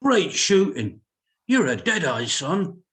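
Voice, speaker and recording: A man playing a gentle, worried doctor and father in a screenplay table read. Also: fairly high pitch, sing-song, takes it slowly, full character voice. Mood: mild, concerned. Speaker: script reader.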